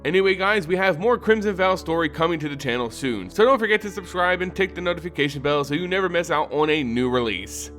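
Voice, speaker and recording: A man hosting and narrating fantasy lore in a scripted, theatrical and expressive storytelling style. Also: fairly high pitch, fast, hardly any pauses, soft background music.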